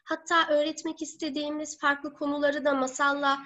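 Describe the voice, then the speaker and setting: A woman in her thirties giving an online seminar as a teacher, speaking deliberately with halting, drawn-out words. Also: high-pitched, flat delivery, sharp tone. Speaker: teacher presenting a webinar. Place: living room at home. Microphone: laptop or webcam mic on video call. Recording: dull lo-fi webcam call audio.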